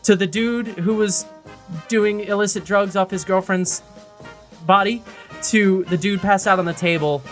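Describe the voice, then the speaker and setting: A man's voice: high-pitched, steady tone, measured pace, fairly bright tone. Casual man chatting over music. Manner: conversational, informal. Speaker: podcast co-host. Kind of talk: casual podcast chat.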